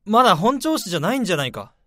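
A man playing a middle-aged boss who orders a sick coworker home and then shouts for an ambulance. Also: high-pitched, big pitch swings, racing, dry recording, clean studio sound, exaggerated voice. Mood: worried. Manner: urgent, commanding, shouting for help. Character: alarmed male boss, middle-aged, bossy but caring.

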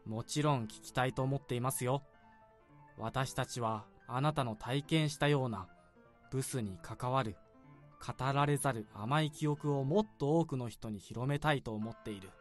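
A man's voice: mid-range voice, normal speed, faint music behind, lightly acted. An actor playing a solemn male expert in a radio drama, speaking in a formal, grandiloquent way. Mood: solemn, inviting. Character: solemn male expert collecting untold love memories. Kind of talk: Japanese radio drama dialogue.

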